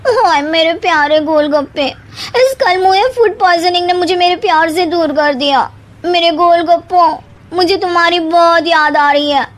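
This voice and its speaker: Cartoon newlywed wife, woman performer: very shrill-high pitch, dramatic, whiny, quarrelsome, spirited. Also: moderate pace. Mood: lovesick, wailing. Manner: whiny, theatrical, argumentative. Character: feisty, dramatic young newlywed wife obsessed with snacks. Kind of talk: animated moral story acting.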